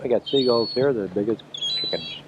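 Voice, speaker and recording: A man's voice: medium pitch, a little fast, very noisy. Chatty man narrating a seaside home video. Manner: chatty, repeats himself, dry humor. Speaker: home video camera operator. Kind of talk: casual running commentary while filming. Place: outdoors on a rocky foggy seacoast. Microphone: built-in camcorder mic. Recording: muffled camcorder audio with loud surf. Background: surf and waves.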